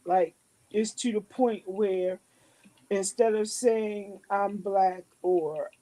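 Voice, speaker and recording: A woman in a casual discussion, asking a long, deliberate question. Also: medium pitch, fairly even intonation, mellow, low-quality recording.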